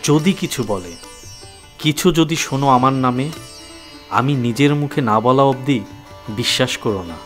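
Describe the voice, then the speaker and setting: A man's voice: medium pitch, moderate pace, long pauses, soft background music, natural voice. A man playing an earnest, tender young suitor with a secret in an audio drama. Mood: earnest pleading.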